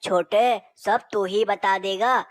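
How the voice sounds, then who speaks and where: A woman voicing a bossy elder male owl in a cartoon story, brisk and commanding. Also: mid-range voice, steady tone, dry recording, close to an everyday voice.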